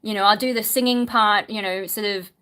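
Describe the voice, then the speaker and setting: A woman's voice: medium pitch, fairly even intonation, a little fast, sharp tone. A woman, a singer, chatting casually on a livestream, reading viewer questions aloud and musing with frequent ums. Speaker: singer and streamer answering chat.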